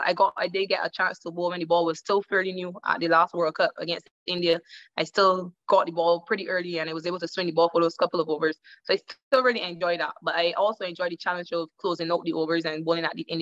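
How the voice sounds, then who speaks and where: Low-voiced woman on a dull video call. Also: fairly bright tone. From Barbados. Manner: thoughtful, repeats words while searching. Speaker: cricketer guest. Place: indoor room at home.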